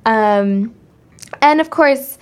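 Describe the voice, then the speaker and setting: A woman with a fairly high voice shares anecdotes as an interview guest. She talks casually and emphatically, in an animated storytelling way. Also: extremely slow, clean tone. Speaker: interview guest, stage actress.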